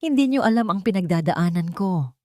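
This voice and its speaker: A woman's voice: on the low side, expressive, normal speed, darkish tone, no echo, studio quality, close to an everyday voice. A woman voicing a defensive, desperate adult daughter in an audio drama story, pleading and making excuses. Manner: stammering excuses, emotionally pleading.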